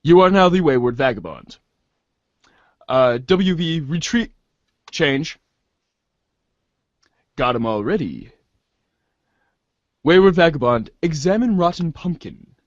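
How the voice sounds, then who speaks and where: Muffled man narrating, slow and animated. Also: on the high side, dry recording. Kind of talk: dramatic amateur reading of webcomic narration.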